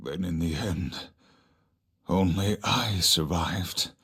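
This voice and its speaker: An actor playing a weary, resigned older man of authority with a husky voice, in an anime game dub. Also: low-pitched, slow, a bit bright, heavily acted.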